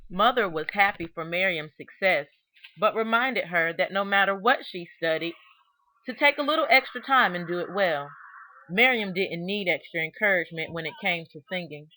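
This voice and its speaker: A woman reading a children's biography aloud in an expressive, deliberate storytelling manner, stressing key words. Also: lowish voice, a little hiss or hum, poor audio. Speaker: storybook reader.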